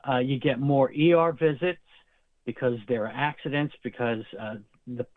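A man's voice: medium pitch, moderate pace, dry recording. A man in an interview, explaining nursing and medical terms at length, as a healthcare guest. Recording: tinny phone line, dry.

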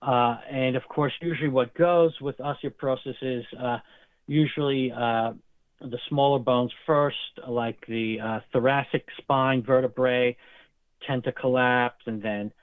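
A man's voice: medium pitch, fairly even intonation, unhurried, dry recording. A man in an interview, explaining nursing and medical terms at length, as a healthcare guest. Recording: tinny phone line, dry.

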